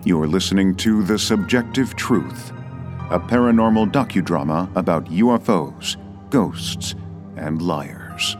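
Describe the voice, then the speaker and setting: Very deep male announcer. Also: lively intonation, unhurried, over music. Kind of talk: slow scripted show intro.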